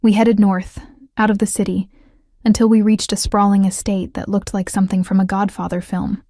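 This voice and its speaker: A woman narrating a first-person romance story in a scripted storytelling style, with an even, subdued delivery. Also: medium pitch, normal speed, no room sound, studio quality.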